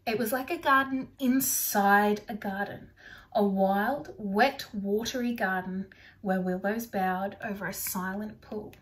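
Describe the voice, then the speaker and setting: A young adult woman reading a picture book aloud to children in an expressive storytelling style, recorded indoors on a device about arm's length away. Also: mid-range voice, measured pace. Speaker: storybook reader for primary children. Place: small furnished room indoors.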